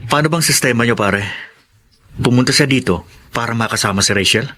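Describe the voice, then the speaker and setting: A man's voice: medium pitch, brisk, pushed and bright, a little hiss or hum, lightly acted. A man playing a frank, inquisitive buddy with a Filipino accent in a radio drama. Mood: curious.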